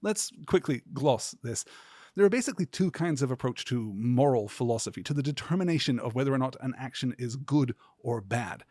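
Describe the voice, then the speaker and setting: A man delivers a scripted lecture on a podcast in an earnest, academic manner. His mid-pitched voice has a husky edge, and the sound is close and dry. He phrases things carefully and articulately. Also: expressive, a little fast, a little mellow, no room sound. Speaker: podcast host.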